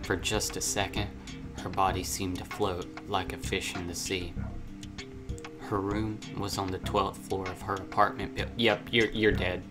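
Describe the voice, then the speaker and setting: Low-voiced man narrating over music. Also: level tone, unhurried. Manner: informal, self-interrupting asides. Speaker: gaming video host. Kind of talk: reading a visual novel aloud with casual commentary.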